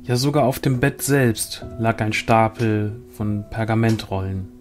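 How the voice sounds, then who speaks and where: A man calmly and measuredly reading a fantasy short story aloud as narrator. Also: fairly low pitch, measured pace. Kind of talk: scripted storytelling. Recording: soft guitar music behind.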